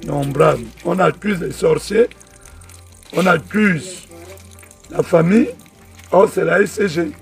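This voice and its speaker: A man's voice: high-pitched, slow pace, creaky, long pauses, distant mic, soft background music. A man with a Gabonese French accent, indignantly complaining in a home video. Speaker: resident filming a complaint.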